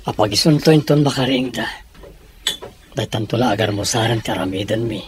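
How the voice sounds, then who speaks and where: Caring father man acting for radio drama; gentle temper, mid-range voice. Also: medium pitch, fairly quick, noisy background, close to an everyday voice. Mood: tender musing. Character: gentle, caring father tending his children.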